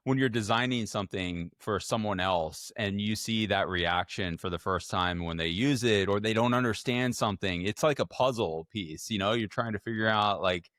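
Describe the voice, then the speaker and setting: A man with a slightly low voice hosts a relaxed, conversational interview. His phrasing is informal and affirming, and the sound is dry and close. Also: no echo. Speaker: podcast host, interviewer.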